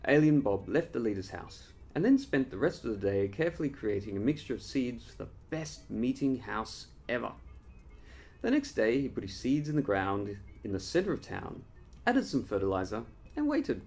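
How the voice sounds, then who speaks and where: A man reading his own children's story as an expressive storyteller, addressing young listeners directly. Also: mid-range voice, normal speed, creaky, faint music behind. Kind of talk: animated scripted storytelling for children.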